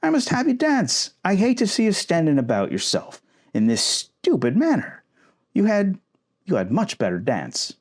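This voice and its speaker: A man voicing a lively, good-natured young gentleman in a storybook reading, exclaiming eagerly. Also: moderate pace, heavily acted. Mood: urging, exasperated. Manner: exclamatory, swooping up high.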